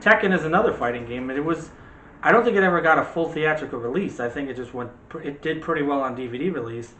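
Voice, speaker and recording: A man's voice: a little high, fast, bright, forceful tone. A man co-hosting a movie discussion, chatting casually in a jokey, digressive way. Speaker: co-host of a movie discussion show. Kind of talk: casual chat. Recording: roomy echo, faint background noise.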